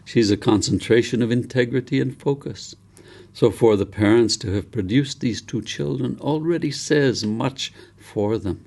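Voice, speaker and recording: A man voicing the most ancient elder of a village council, solemn, hopeful and deliberate in his oratory. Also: mid-range voice, vocal fry, darkish tone.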